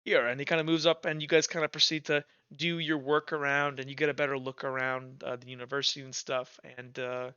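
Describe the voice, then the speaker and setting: Bright, clear-voiced male game master. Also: mid-range voice, level tone, no echo. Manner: halting, informal. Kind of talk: improvised tabletop game narration. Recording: slightly muffled, music bed behind.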